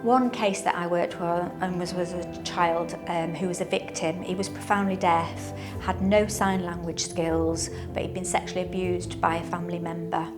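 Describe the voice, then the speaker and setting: A woman working as an intermediary, describing her work in an interview, haltingly and with pauses. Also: fairly low pitch, steady tone, over music. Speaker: witness intermediary. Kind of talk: interview talk about own work.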